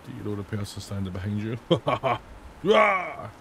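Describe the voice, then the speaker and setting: A raspy, gravelly man in his thirties talks through a game on a headset at home, reading questions aloud and cracking jokes between answers. His voice is a little low and worn hoarse, with a steady noisy hiss behind it. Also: unhurried. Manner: reads on-screen questions aloud and answers them, jokey. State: hoarse from days of singing. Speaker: gaming channel host. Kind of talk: casual let's-play commentary. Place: home room, indoors.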